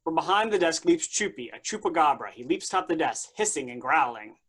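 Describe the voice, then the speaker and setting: A man's voice: on the high side, lively intonation, brisk, fairly bright tone, husky. A man reading stage directions aloud as the narrator of a staged play reading.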